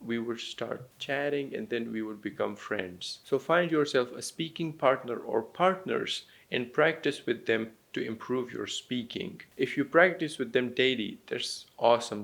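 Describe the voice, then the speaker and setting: Man, Pakistani accent with some American influence, gravelly creak, mid pitch, encouraging instructional talk. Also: a bit bright. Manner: encouraging, simple step-by-step explaining. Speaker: language teacher and YouTuber.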